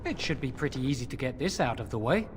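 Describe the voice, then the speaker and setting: A woman's voice: extremely low pitch, big pitch swings, fast, in a large hall. A woman playing an anxious, earnest young female initiate in a video game, with music behind her. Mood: urgent, hopeful.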